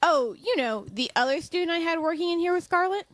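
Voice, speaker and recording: A woman playing a protective, composed professor in an audio drama, measured and dry with a pointed edge. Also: extremely high pitch, lively intonation, dry recording. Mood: dryly amused.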